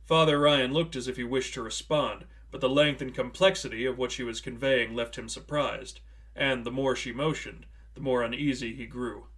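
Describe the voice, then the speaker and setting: A man's voice: medium pitch, fairly even intonation, normal speed, a bit bright, slightly rough. Man narrating a dramatic story. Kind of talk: scripted audiobook-style narration.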